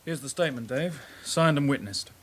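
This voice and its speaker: An actor playing a plain-spoken junior detective constable, matter-of-fact, in a radio crime drama. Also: male voice, medium pitch, expressive, a little fast, fairly bright tone.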